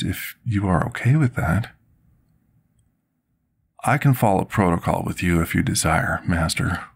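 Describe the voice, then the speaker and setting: A low, husky male potion master played by a man serves his dragon master in an intimate fantasy ASMR roleplay. He speaks very slowly and with formal courtesy, hesitating now and then. His devotion comes through in deferential, careful phrasing. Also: exaggerated voice. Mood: shy, deferential.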